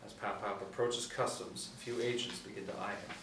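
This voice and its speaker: Male narrator for script directions, lowish voice, recorded off-mic in a roomy space. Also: fairly even intonation, brisk, a bit bright. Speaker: narrator reading stage directions. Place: indoor room, seated reading panel. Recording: roomy, distant mic, faint noise.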